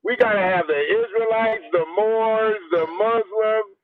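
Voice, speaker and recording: A man with a notably high voice calls in over a thin, tinny phone line. He talks in an animated, profane way, tossing in laughing asides as he makes his case. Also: measured pace, crowd noise. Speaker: guest.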